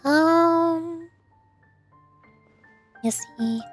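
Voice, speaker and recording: A woman's voice: very high voice, drawn-out words. A woman livestreamer chatting casually with her viewers in a rambling, playful way. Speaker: VTuber streamer. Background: soft music.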